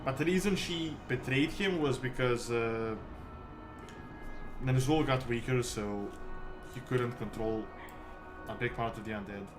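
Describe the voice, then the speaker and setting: Halting man streaming over music. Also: mid-range voice. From Serbia. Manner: halting, rambling, frequent "like". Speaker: game streamer. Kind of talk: casual livestream chat with viewers. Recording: music behind the voice.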